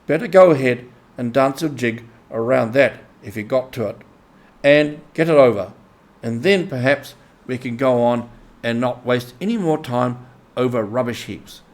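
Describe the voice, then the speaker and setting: A man voicing a grumbling, stubborn mole in a storybook reading, pettish, deliberate and sarcastic. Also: medium pitch, close to an everyday voice. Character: grumbling, stubborn, literal-minded male mole. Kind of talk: animal character in storybook reading.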